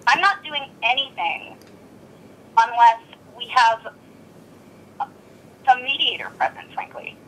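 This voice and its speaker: A woman's voice: a little high, takes it slowly, pauses often, fairly bright tone. A blunt, exasperated woman arguing on a recorded telephone call. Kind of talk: tense phone argument.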